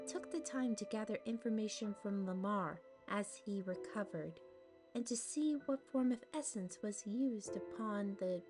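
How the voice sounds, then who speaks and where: A woman narrating a fantasy audio drama in a storybook manner, now and then stopping to puzzle over names. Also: mid-range voice.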